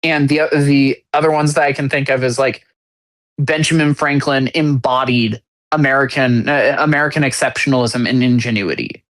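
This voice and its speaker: A man of middling pitch talking on a casual podcast. He hunts for his words as he goes, restarting phrases and stacking fillers as he builds an argument. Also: steady tone, fairly bright tone. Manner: halting, searching for words with many restarts. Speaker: podcast co-host.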